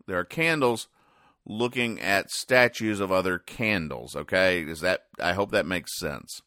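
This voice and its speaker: A man hosting a casual comedy podcast, recapping things in a deadpan, rambling, halting way. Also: deep voice, no room sound, studio quality. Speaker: podcast host.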